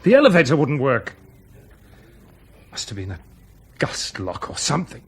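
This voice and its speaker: An actor playing a guilt-stricken airline pilot in a radio drama, sounding shaken. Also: male voice, medium pitch, huge pitch swings, normal speed, breathy edge, heavily acted. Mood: shaken, guilty. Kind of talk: BBC radio drama acting.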